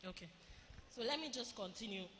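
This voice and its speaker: A woman giving a teaching talk at a women's retreat, speaking in short phrases with pauses for an interpreter. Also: fairly high pitch, animated, bright, forceful tone. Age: adult, married since 2016. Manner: measured, pausing phrase by phrase for the interpreter. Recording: echoey hall, faint ambient noise.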